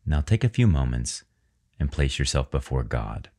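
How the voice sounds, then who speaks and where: Casting: male meditation guide, extremely low voice, measured and reverent, leaving pauses between phrases. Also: very animated, warm tone, slightly rough.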